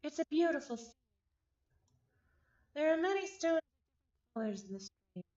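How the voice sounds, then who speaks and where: A woman streamer reading a visual novel aloud, expressive and given to breaking off into exasperated, chatty commentary. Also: fairly high pitch, dull audio. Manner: expressive, breaks off narration to exclaim and repeat words in exasperation.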